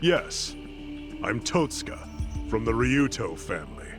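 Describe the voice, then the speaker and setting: A man's voice: medium pitch, sing-song, measured pace, music in the background. A man playing a smug, menacing yakuza villain who runs a facility in a game cutscene, condescending and threatening. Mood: confident, threatening. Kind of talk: dramatic game cutscene voice acting.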